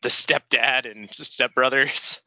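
A laid-back man co-hosts a casual podcast, sounding congested from the flu and swearing freely. His voice is bright and forceful. It sounds dry and a little lo-fi. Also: mid-range voice, steady tone, slow pace, no room sound. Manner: laid-back, joking, swears a lot, laughs often. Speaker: podcast co-host.

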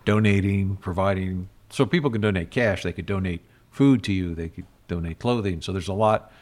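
A middle-aged man hosting a podcast, asking questions in a relaxed way with fillers and rephrasing. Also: low voice, fairly quick. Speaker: podcast host. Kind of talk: casual interview questions.

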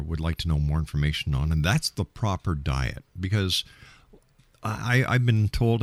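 Male radio host, very low pitch, dry close studio sound. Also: no echo. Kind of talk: radio interview hosting and announcements.

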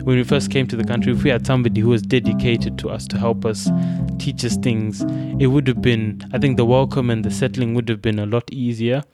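A man's voice: mid-range voice, steady tone, fairly quick, over loud music. A young man from Zimbabwe with a Zimbabwean accent, thoughtfully recounting his own experiences and often restarting his phrases. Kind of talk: reflective personal account.

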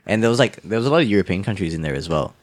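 Deep, lively man chatting. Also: racing. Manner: rambling, full of "like" and restarts. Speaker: podcast co-host. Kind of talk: casual podcast chat.

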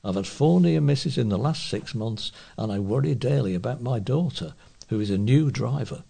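A man's voice: mid-range voice, lively intonation, moderate pace, vocal fry, dark tone, breathy edge. A man reading local newspaper reports aloud as a volunteer newsreader.

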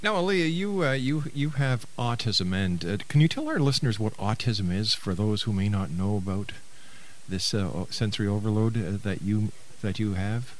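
A man's voice: on the low side, lively intonation, moderate pace, slight background noise. A mature man hosting a radio interview in a conversational, encouraging and avuncular way.

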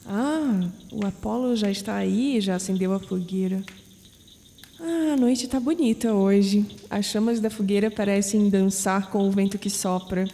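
A woman's voice: mid-range voice, unhurried, warm tone, slight background noise, close to an everyday voice. An actress playing a young, skeptical and searching Brazilian woman in an audio drama. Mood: musing.

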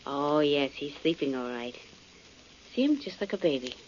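A woman's voice: low-pitched, lively intonation, moderate pace, a little mellow. An actress playing a detective's devoted young female sidekick in 1940s radio style. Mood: chipper, curious. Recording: muffled, noisy old radio broadcast.

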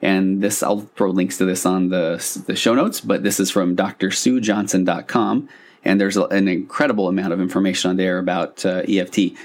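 A man's voice: low-pitched, fairly even intonation, normal speed. A man hosting a casual solo podcast as a couples therapist, talking in an earnest, conversational, slightly rambling way with self-corrections.

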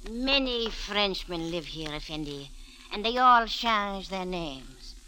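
An actress playing a sly, money-grubbing old innkeeper in a theatrical 1940s radio drama style. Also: female voice, mid-range voice, expressive, slow, sharp tone. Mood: wheedling, sly. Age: older. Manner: theatrical, wheedling, fatalistic. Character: sly, money-grubbing old Egyptian flophouse keeper woman. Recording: noisy vintage radio recording.

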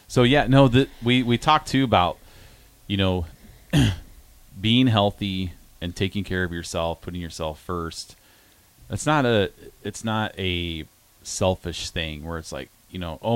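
A man's voice: low voice, no room sound. A man in his forties co-hosting a casual interview, speaking in an earnest, conversational way. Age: 40s. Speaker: co-host.

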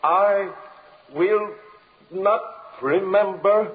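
On a tinny old radio recording, a magician's wandering spirit in a high voice. The words come very slowly and haltingly, trance-like and defiant, in 1940s horror radio style. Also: male voice. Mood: strained, defiant. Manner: drawn-out, trance-like, halting delivery. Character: defiant sleeping magician's wandering soul, summoned male spirit.

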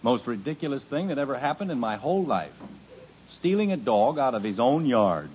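A man's voice: mid-range voice, sing-song, brisk, darkish tone, slight background noise, close to an everyday voice. An actor playing an exasperated middle-aged family father in a 1950s radio sitcom, grumbling and indignant. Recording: dull lo-fi 1950s radio recording.

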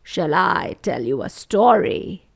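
A woman voicing an ancient, wise grandfather stone in a storytelling tale, with an old rumbling voice and slow, solemn delivery. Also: very animated, creaky, husky, heavily acted. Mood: inviting, mysterious.

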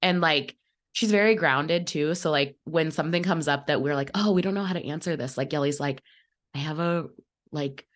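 A woman's voice: sits low, moderate pace. A bubbly, effusive woman chatting casually on a podcast, sounding a little under the weather with a cold. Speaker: podcast team member, operations manager. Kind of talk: casual behind-the-scenes podcast chat.

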